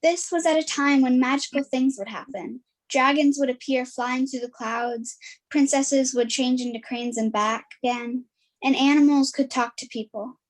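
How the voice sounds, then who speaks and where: High-voiced woman narrating a folktale. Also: moderate pace, rough recording. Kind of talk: scripted storytelling narration.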